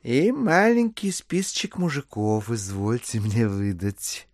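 An actor playing a sly, smoothly polite middle-aged gentleman in an audio play, coaxing and ingratiating. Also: mid-range voice, big pitch swings, no room sound, full character voice. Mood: businesslike, coaxing. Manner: unhurried, coaxing, with small grunts and hums.

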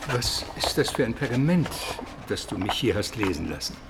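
An actor playing a curious, learned chronicler in a radio play, speaking in a measured, deliberate way. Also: male voice, on the low side, very animated, background noise. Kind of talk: radio drama acting.

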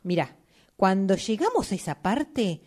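A woman's voice: mid-range voice, very animated, unhurried, a little mellow, breathy edge. An actress playing an uneasy, protective woman in a radio play, with a Rioplatense Spanish accent and a hesitant, repetitive way of talking. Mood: uneasy, objecting. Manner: hesitant, repeats short words. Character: uneasy, protective woman who doubts and corrects. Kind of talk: radio theatre acting, workshop fiction.

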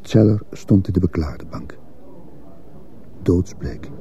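A grave male narrator, very gravelly and dark, recounting his memories in a radio play. The old recording sounds muffled. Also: deep voice, very animated, slow pace, exaggerated voice. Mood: sombre, measured. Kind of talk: scripted radio drama narration.

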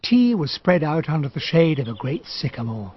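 Measured upper-class English storyteller, muffled sound. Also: male voice, fairly high pitch, sing-song. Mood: reflective. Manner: measured, literary, nostalgic. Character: Hastings as reflective older narrator, English gentleman recalling events. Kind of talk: scripted radio drama narration. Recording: dull, muffled radio drama recording.

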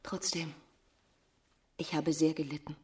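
An actress in a radio play voicing a desperate, ruined married woman pleading with her former lover, emotional and often breathy to a whisper. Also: sits low, little pitch movement, unhurried, full character voice. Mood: wistful, hushed. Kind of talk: radio drama acting.

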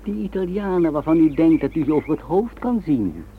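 A man's voice: fairly high pitch, expressive, fairly quick, husky, phone-quality sound. An actor playing a sardonic, polite Italian mafia henchman in a radio drama, drily agreeable. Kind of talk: Dutch radio drama acting. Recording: very noisy, thin old radio recording.

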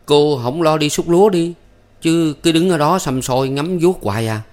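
A man's voice: on the high side, moderate pace, darkish tone, voice pushed up, lightly acted. A man voicing a timid, lovestruck farmhand in an audiobook, hesitant and plaintive, with a southern Vietnamese accent.

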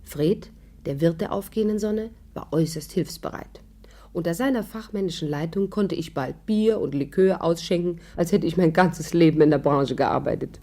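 A woman's voice: fairly low pitch, moderate pace, warm tone. An actress playing a shrewd young woman who narrates her adventure in a composed, wry way in a 1950s radio drama. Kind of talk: scripted narration, 1950s German radio play.

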